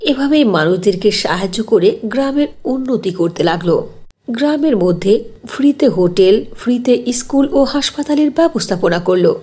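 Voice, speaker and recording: A woman's voice: on the high side, lively intonation, moderate pace, warm tone, slightly rough. A woman narrator reading a scripted fairy tale in a storytelling style.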